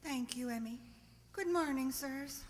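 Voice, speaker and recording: A woman's voice: high voice, measured pace. A woman playing an anxious, pleading older working-class village mother, earnest and rustic, in a radio drama. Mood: distressed. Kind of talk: amateur radio drama acting. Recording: long hall-like reverb.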